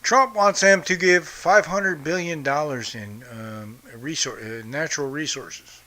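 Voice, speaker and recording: A man's voice: on the high side, measured pace, bright, forceful tone. A man hosting a podcast, from the United States, ranting bluntly and profanely about politics. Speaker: podcast host. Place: home in Brazil.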